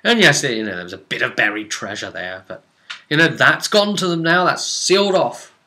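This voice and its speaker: A man with a crisp, bright voice and an average pitch talks through a role-playing session as its game master. His delivery is casual and rambling, like someone telling a story to friends, with animated turns as he relays what the players did. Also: normal speed.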